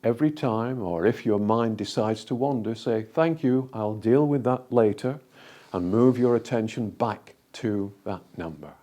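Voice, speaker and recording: A man leading a guided meditation in a calm, gentle, deliberate way, leaving frequent pauses between phrases. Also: on the low side.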